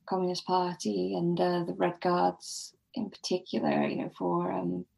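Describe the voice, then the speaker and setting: A woman's voice: fairly low pitch, monotone, unhurried, vocal fry, warm tone. A woman speaking as a podcast guest, discussing literature casually in a tentative, self-deprecating way.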